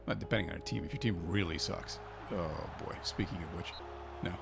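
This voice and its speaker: A man's voice: deep voice, fairly quick, gravelly, over music. A man with an Icelandic accent, hosting a gaming channel and giving a chatty, think-aloud commentary as he plays a football manager game. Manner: chatty, thinking aloud, cheering his team on.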